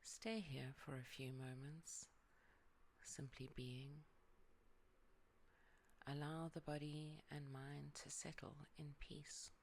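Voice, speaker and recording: A yoga nidra guide leading a calm relaxation meditation, speaking softly and often sinking into a whisper. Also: mid-range voice, takes it slowly, creaky, pauses often, slight background noise.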